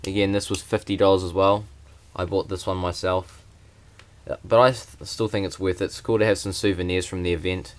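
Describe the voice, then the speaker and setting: Low, flat-voiced young man. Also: brisk. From New Zealand. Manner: plain, hesitant, many fillers. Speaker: vlogger. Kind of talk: casual unboxing vlog.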